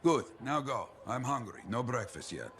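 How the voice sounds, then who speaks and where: A man's voice: medium pitch, sing-song, moderate pace, heavy background noise, close to an everyday voice. A man playing a weathered older retired freelancer in a video game, speaking in clipped phrases with frequent check questions. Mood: weary, wry. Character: gruff, weathered old retired freelancer mentor, male.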